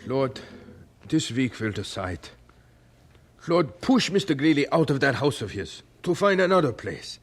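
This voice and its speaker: Devout German missionary, husky voice, very expressive, fervent, stubborn, radio drama. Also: male voice, medium pitch, a little slow. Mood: prayerful. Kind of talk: old-time radio drama acting.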